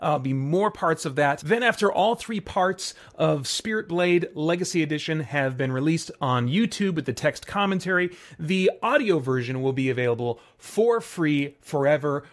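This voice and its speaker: Casting: male solo podcast host, slightly high pitch, informal, speaking directly to the audience. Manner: informal, addresses listeners directly. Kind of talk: casual solo podcast talk.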